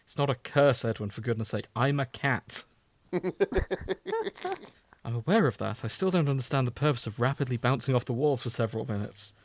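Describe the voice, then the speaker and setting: A man's voice: mid-range voice, fairly quick, no room sound. Casual man podcaster, close dry sound. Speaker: podcast co-host. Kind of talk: casual chat, reading and riffing on fan fiction.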